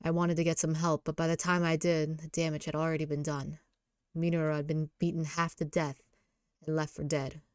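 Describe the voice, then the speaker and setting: Girl doing a gruff teen delinquent boy, kinda low n subdued, broody visual novel guy, dry mic. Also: little pitch movement, fairly quick, dry recording, lightly acted. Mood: angry, regretful.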